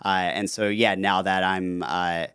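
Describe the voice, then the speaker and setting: A man's voice: sits low, a little slow, clear, resonant tone, no room sound, studio quality. A man in his thirties, a guest on an interview podcast, telling stories casually with frequent fillers. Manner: relaxed storytelling with frequent fillers.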